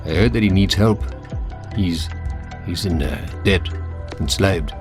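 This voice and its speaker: An actor playing a male traveler in a sci-fi game, speaking in a halting, hesitant way. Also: deep voice. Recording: game audio with music behind. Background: music.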